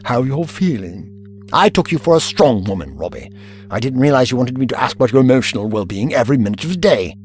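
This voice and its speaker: A man's voice: mid-range voice, sing-song, quick pace, a little mellow, soft background music, lightly acted. A man playing an aloof, vain time-travelling inventor in an audio drama, speaking in a formal, pompous, dismissive way. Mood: brusque, dismissive.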